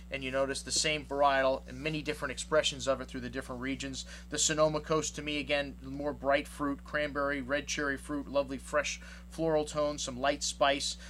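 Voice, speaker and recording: A man's voice: mid-range voice, fairly bright tone. Chatty man with a faint hum. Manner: chatty, rambling with many fillers. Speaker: wine shop owner reviewing wines. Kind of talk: casual tasting notes to camera.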